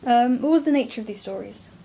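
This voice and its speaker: Young woman interviewer, mellow voice. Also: fairly high pitch, expressive, fast talker, gravelly, a little hiss or hum. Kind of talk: casual family interview.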